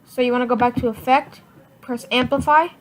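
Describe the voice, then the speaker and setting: A woman giving a casual software tutorial in informal, halting step-by-step instructions. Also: on the high side, fairly even intonation. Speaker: tutorial presenter. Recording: noisy home computer mic, clicks.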